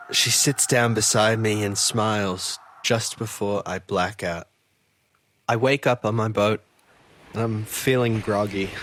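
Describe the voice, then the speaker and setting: An actor as an earnest fisheries officer, narrating a sea mystery in the first person. His voice is low yet forceful and bright, dramatic and bewildered, over faint ambience. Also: slight background noise, poor audio.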